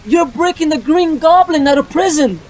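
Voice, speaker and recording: A performer voicing a sharp-tongued, protective young woman in a fan dub of a motion comic. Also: high voice, animated, moderate pace, voice pushed up. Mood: scornful. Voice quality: sharp, pleading.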